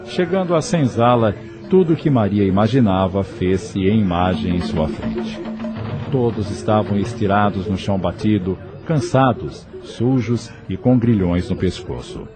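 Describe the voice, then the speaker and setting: Low-pitched male narrator over music. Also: lively intonation. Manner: measured storytelling. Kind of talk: scripted audio novel narration.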